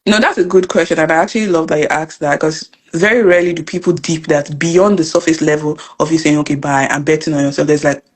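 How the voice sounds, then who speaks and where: A young woman from Nigeria with a Nigerian accent, telling her story as an interview guest in an earnest, animated way. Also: low-pitched, husky. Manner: fast, earnest, animated storytelling with repeated phrases. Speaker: guest, financial educator and business coach. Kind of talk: casual interview conversation.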